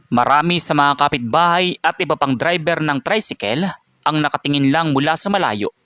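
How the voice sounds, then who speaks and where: A man's voice: fairly high pitch, fairly bright tone, no echo, studio quality. Man narrating a dramatic story.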